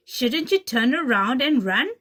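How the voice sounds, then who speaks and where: A woman's voice: sits high, lively intonation, a little slow, a bit bright, exaggerated voice. A woman voicing a friendly, concerned zebra in a children's story, breathless in manner. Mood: friendly warning.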